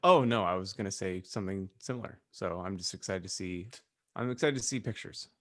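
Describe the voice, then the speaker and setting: Low-voiced man, casual banter. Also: a little fast. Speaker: podcast co-host.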